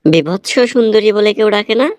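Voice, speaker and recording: A man's voice: high-pitched, a little fast, a little mellow, natural voice. A man voicing a blunt, teasing film writer who lectures sardonically in a Bangladeshi accent, in a comic cartoon.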